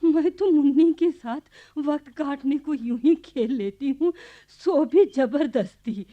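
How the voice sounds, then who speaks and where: A woman's voice: sits high, takes it slowly. A woman playing a humble, reluctant old village grandmother in a radio play, modest and homely in manner. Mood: bashful, reluctant. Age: older. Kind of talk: Hindi radio play acting. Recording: dull, lo-fi archive radio recording.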